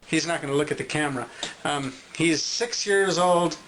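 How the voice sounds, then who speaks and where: A man who homeschools his son, explaining a study session on camera in a halting way with many ums and uhs. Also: a little high, a bit bright, a little hiss or hum. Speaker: homeschooling parent.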